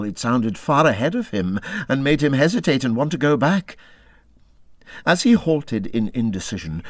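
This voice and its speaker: Slow, very animated male storyteller. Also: mid-range voice, no echo. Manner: dramatic, expressive storytelling that ranges from hushed to urgent. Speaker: audiobook reader. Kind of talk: scripted narration of a classic children's animal story.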